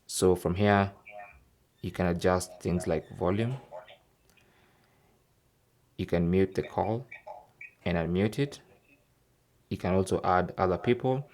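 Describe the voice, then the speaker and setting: A man's voice: sits low, darkish tone, slight background noise. A man presenting an instructional tech tip, explaining step by step and often checking in with the listener. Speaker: tech tutorial host.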